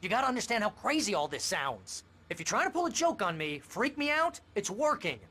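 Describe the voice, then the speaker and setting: An actor playing an anxious, talkative, loyal best friend in a video game, nagging in wordy, sarcastic exasperation. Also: male voice, sits high, big pitch swings, a little fast, sharp tone, full character voice. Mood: worried, exasperated.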